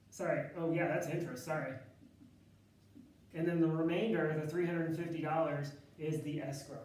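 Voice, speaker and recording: A man with a loud, slightly high voice lectures on math in a relaxed, chatty style. He thinks aloud, corrects himself mid-sentence and fills pauses with ums. He is heard from a distance in a very echoey classroom with faint room noise. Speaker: math instructor.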